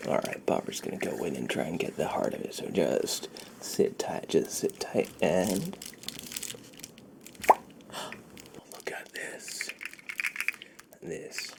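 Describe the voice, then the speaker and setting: A man speaks very low and slowly, half-whispering an ASMR roleplay right at the microphone. His voice has a gravelly fry, and he is soothing and reassuring, murmuring little repetitions. There is clear background noise in the recording. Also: sing-song. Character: friendly shady dealer treating a client's tingle immunity. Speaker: ASMR performer. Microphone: close sensitive microphone.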